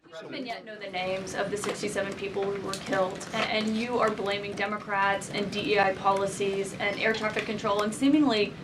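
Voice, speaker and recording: A woman's voice: mid-range voice, steady tone, a little fast, few pauses. A woman working as a reporter, putting pointed, insistent challenges at a press conference. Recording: distant from mic, noisy room.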